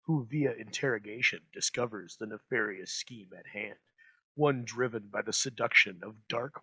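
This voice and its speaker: Guy hosting with scripted dramatic intro, raspy and very bright, close dry sound. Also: medium pitch, no room sound, poor audio. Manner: ornate, dramatic delivery. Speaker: host introducing old radio episodes. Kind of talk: scripted narration.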